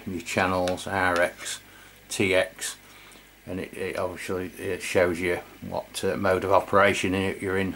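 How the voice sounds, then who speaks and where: A man's voice: low voice, fairly bright tone, slight background noise. A middle-aged man giving a casual, matter-of-fact hands-on product review. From England. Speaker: radio review channel host.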